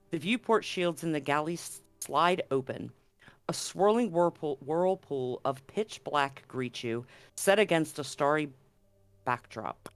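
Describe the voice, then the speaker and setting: A man's voice: on the high side, fairly bright tone, smooth. A man acting as game master, hosting and narrating a tabletop roleplaying game stream.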